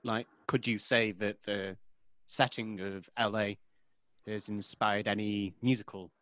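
A man's voice: fairly low pitch, level tone, unhurried, a bit bright, no room sound. Formal-sounding male podcast host. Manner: formal, slightly florid phrasing. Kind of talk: interview questions.

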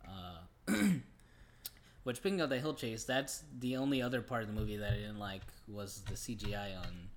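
A man with a fairly low voice discusses films as a podcast co-host. He is casual and conversational, using loose, everyday phrasing. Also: animated, moderate pace. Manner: informal, conversational, often says "like".

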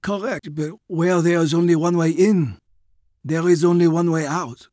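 Guy doing a sergeant major in a war audiobook, formal n deliberate, careful sort. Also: fairly high pitch, fairly even intonation, darkish tone, no echo, studio quality, natural voice. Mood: grave, cautious. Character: measured, cautious French sergeant major.

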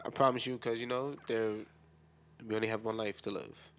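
A man's voice: on the low side, little pitch movement, brisk, noisy background. A man co-hosting a talk show, speaking casually and without a script. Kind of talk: casual unscripted chat.

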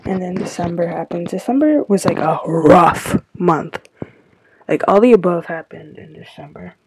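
A teenage girl hosting her own podcast, sounding stuffy and tired, rambling with lots of fillers in a soft, confiding way. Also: fairly low pitch, normal speed, husky. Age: teens. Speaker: podcast host. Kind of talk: casual solo podcast talk.

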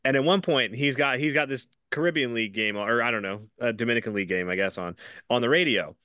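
A man's voice: mid-range voice, fast, pushed and bright, clean tone, no room sound, clean studio sound. A man co-hosting a podcast, chatting casually and telling rambling stories, laughing often. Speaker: podcast co-host.

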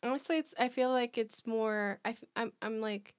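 A woman in her twenties in casual chat on a podcast, talking in a rambling, halting way with many restarts. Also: a little high, fairly even intonation, dry recording, studio quality. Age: 20s.

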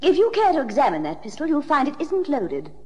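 A woman's voice: high-pitched, sing-song, a little fast, big-room sound, a little hiss or hum. An actress playing a secretive, commanding female client in a 1960s radio drama, speaking formally. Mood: resigned, guarded.

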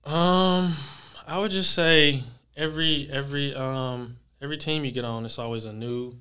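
A man's voice: medium pitch, normal speed, clean tone. A young man from Texas, a pro basketball player, chatting casually in an interview with lots of fillers. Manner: relaxed, rambling, many fillers.

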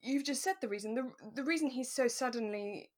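A woman's voice: sits high, fairly quick, darkish tone. A British woman chatting casually and informally as a podcast co-host. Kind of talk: relaxed casual chat.

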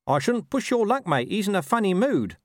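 A man's voice: sits high, very animated, fairly quick, dry recording, clean studio sound, voice pushed up. A man voicing a scruffy, sly, cheeky talking dog in a storybook reading.

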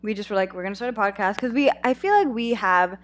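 A young woman co-hosting a casual podcast from a college dorm room, joking and rambling with deadpan sarcasm into a small headphone mic. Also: medium pitch, fast talker, faint music behind. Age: young adult. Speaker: podcast co-host. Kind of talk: casual chat. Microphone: small headphone mic on a phone.

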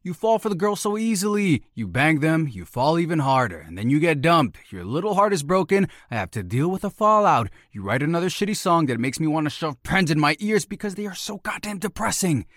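An actor playing a pushy, needling best buddy of about thirty in an audio drama, brash and blunt in manner. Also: male voice, a little high, big pitch swings, brisk, few pauses, a bit bright, no room sound, studio quality. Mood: exasperated. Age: around thirty.

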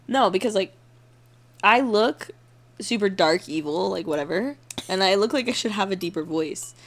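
A young woman with a deep voice, co-hosting a casual chat, swearing and laughing a lot. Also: lively intonation, moderate pace. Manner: giggly, swearing, keeps laughing mid-sentence. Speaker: podcast co-host.

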